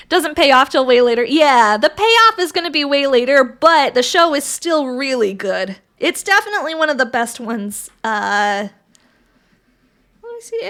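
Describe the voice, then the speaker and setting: A woman livestreamer chatting casually with viewers, reading their messages aloud, swearing freely and laughing often, her voice raspy from allergies. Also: high-pitched, fairly bright tone.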